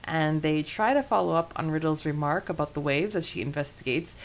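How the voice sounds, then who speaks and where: Low-pitched woman chatting on a podcast. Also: brisk, a little hiss or hum. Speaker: podcast co-host. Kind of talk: casual book-discussion podcast chat.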